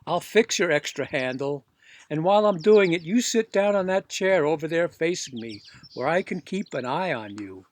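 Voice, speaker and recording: A storybook serviceman, as a male narrator puts him on, gruff and argumentative. He talks in a folksy, working-class way, mocking and suspicious, with a somewhat high pitch. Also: animated, moderate pace, natural voice. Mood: grudging, wary.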